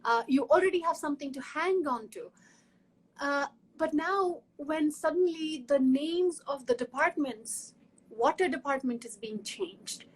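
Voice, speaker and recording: A woman's voice: high voice, lively intonation, a little slow, low-quality recording. A woman from Kashmir, speaking earnestly in a conversational interview, often restarting and repeating words. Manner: earnest, frequent restarts and repetitions. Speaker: guest academic in conversation.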